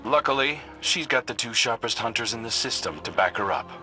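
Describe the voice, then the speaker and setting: An actor playing an earnest, dutiful young hunter in a video game, speaking with focus and quiet confidence. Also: male voice, mid-range voice, animated, brisk. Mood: confident. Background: faint game music.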